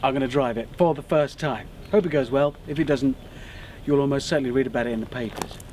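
An English man in his forties presenting a car show outdoors, excitable and self-mocking. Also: mid-range voice, quick pace, slight background noise. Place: outdoors at a race track and on roads. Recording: theme music playing under speech.

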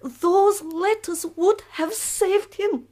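An actress playing a proud, weary middle-aged revolutionary woman in a radio drama, speaking slowly and deliberately. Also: very high-pitched, warm tone. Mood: bitter, grave. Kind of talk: BBC radio drama acting.

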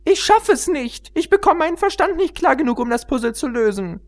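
A man voicing an anxious, childlike young female pony in a storybook, with halting speech. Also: sing-song. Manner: halting, squeaky-high.

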